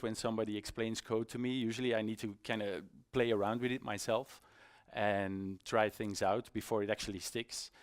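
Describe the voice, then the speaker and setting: A middle-aged man giving an informal conference presentation in a conversational, self-deprecating, slightly halting way. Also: fairly low pitch. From Belgium. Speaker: conference speaker, iOS developer. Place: conference stage in a hall.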